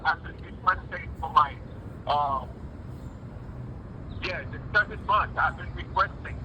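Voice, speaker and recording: A man on a phone call from jail, talking heatedly and haltingly, repeating himself as he goes. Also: on the high side, background noise. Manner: halting, repetitive, stumbling over words. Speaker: jailed caller. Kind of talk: heated personal phone conversation.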